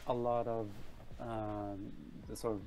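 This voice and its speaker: A man's voice: lowish voice, little pitch movement, takes it slowly, dark tone, rough recording. A middle-aged Norwegian man with a Norwegian accent, chatting casually as an interview guest, often hesitating and repeating words. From Norway. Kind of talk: casual chat. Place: room at home, video call.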